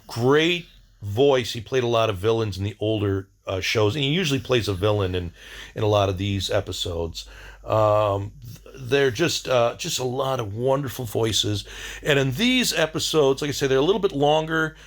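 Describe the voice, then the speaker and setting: Guy doing a rambly vlog diary, blunt and wry, medium pitch, keeps stalling for words. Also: fairly bright tone. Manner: halting, searching for words. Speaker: video diary host. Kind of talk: casual rambling monologue.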